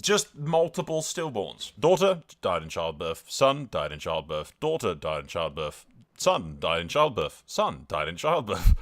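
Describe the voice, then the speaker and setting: A man hosting a gaming video, giving casual, excitable and sweary commentary with some roleplay storytelling. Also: mid-range voice.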